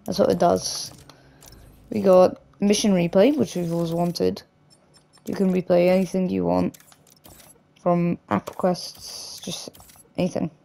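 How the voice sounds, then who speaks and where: A man with a hoarse, croaky voice from a cough, casually rambling through gameplay commentary as a gaming YouTuber. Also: sits high, flat delivery, measured pace, long pauses, dark tone. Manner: rambling, loose, with many restarts and "like".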